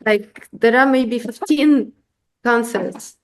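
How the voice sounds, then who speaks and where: Halting vocal coach woman, slow speech. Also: on the high side. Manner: halting, many restarts, explains with examples. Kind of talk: conversational interview answers.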